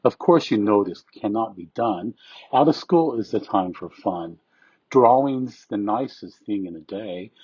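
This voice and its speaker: A man reads rhymed verse and introduces it. His voice is low and husky. The recording is dry and somewhat dull. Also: expressive, a little slow, darkish tone, no echo. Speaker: presenter reading poetry. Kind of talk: poetry reading with short introductions.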